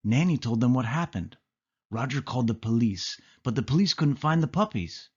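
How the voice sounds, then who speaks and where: A man reading a children's story aloud as storyteller, with expressive narration. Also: medium pitch, lo-fi sound.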